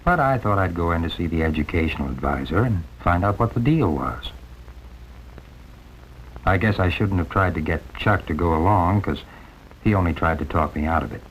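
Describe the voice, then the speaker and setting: A man's voice: sits low, animated, moderate pace, slight background noise. An actor playing an easygoing young American airman, narrating his story in a folksy, wry, conversational way. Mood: casual, reflective. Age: young adult. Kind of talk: first-person 1950s film narration. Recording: dull 1950s film soundtrack with music.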